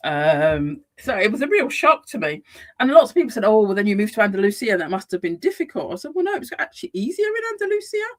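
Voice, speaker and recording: A woman's voice: medium pitch, fast. A woman from the UK, a psychotherapist and life coach, chatting as a guest in a casual interview with many restarts and easy laughter. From United Kingdom. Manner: animated, frequent restarts, laughs easily. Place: Madrid, Spain.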